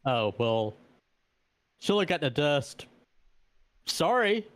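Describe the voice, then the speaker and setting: A man's voice: on the high side, sing-song, slow, a bit bright. A man talking casually as a player in a tabletop role-playing game.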